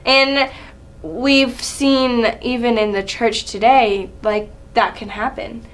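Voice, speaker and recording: Casual young woman, fairly high voice. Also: fairly even intonation, slow. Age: young adult. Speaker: student presenter. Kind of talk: casual, informal presentation.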